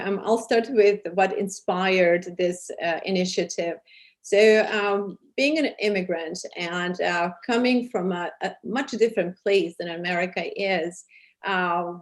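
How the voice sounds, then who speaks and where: Female interview guest, Serbian accent, medium pitch, sincere, searches for phrasing as she explains. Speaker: interview guest, program producer and charity founder. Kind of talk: interview answers.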